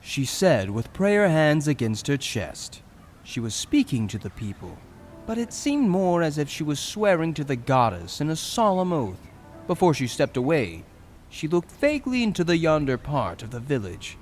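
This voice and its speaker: A man's voice: a little high, sing-song, moderate pace, faint music behind. A man reading scripted narration for a fantasy audio drama.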